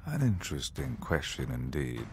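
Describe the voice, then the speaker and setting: Very deep, gravelly dragon tyrant. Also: male voice, sing-song, moderate pace, loud music behind the voice, exaggerated voice. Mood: imperious. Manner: pompous, grandiose, threatening. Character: arrogant, menacing male dragon rival in humanoid form. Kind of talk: fantasy audio drama acting.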